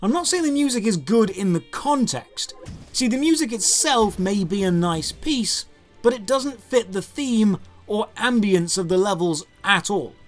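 British man, thirties, high voice, critical scripted narration, dry sarcasm, light background music. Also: animated, unhurried. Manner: sardonic, critical. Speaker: video game reviewer. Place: small room lined with game shelves.

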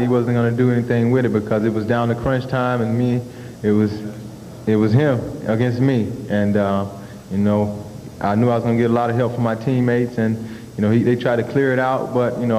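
A young man with a mellow, darker voice, a college basketball player, answers modestly, pausing and leaning on fillers. He speaks into a table microphone in a big press room, with a long reverb and faint hiss from the live broadcast. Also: mid-range voice. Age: young adult. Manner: modest, halting, many fillers like "you know". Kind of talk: postgame press conference answers. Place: press conference room in a large arena.

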